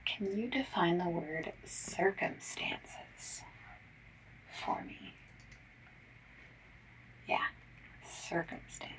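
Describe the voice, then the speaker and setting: Female ASMR survey caller: whispery, polite, encouraging, lowish pitch with very wide swings, lo-fi distant mic with typing. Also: wildly swooping pitch. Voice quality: breathy, often whispered. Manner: gentle, polite, encouraging, unhurried questioning. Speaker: ASMR roleplayer playing a phone survey caller. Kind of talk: ASMR, soft speaking and whispering. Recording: lo-fi, noisy, slightly distant mic. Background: mechanical keyboard typing.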